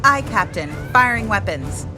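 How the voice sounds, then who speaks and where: Woman as crisp, dutiful starship ensign, loud music. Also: mid-range voice, very animated, moderate pace, natural voice. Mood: alert, dutiful. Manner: crisp military reporting. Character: brisk, dutiful female starship ensign at weapons station. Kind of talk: sci-fi audio drama acting.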